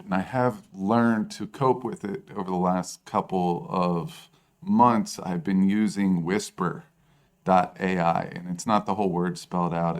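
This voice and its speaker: A man co-hosting a podcast in casual chat, with a low bassy voice and a self-deprecating, rambling way of talking. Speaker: podcast co-host.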